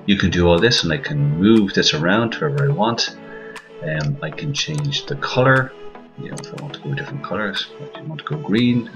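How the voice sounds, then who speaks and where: A man talking through an informal step-by-step software tutorial in a conversational, explanatory way, with hesitant pauses. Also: sits low. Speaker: tutorial presenter, podcast co-host. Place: voice-over on a screen recording.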